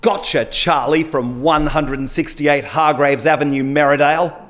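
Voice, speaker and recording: Man acting vengeful male cyclist, storybook reading, indignant, colloquial, raised lively pitch. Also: moderate pace. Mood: triumphant. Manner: emphatic, aggrieved, colloquial.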